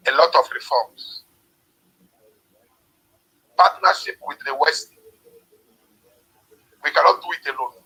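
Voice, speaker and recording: A man's voice: fairly high pitch, takes it slowly, pauses often, sharp tone, husky, poor audio. A man speaking at a Pan-African forum, giving a hesitant speech full of fillers, heard over a call.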